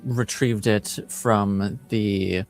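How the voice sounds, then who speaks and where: Man voicing a slow, hesitant, deferential sister. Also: lowish voice, steady tone, soft background music. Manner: hesitant, halting, respectful. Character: deferential chantry sister delivering a message. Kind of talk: tabletop roleplay in character.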